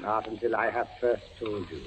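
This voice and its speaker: An actor playing a cold, menacing ghostly inquisitor with a deliberate, ominous manner in 1940s radio suspense. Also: male voice, fairly low pitch, close to an everyday voice. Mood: quietly menacing. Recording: muffled, lightly noisy 1940s radio recording.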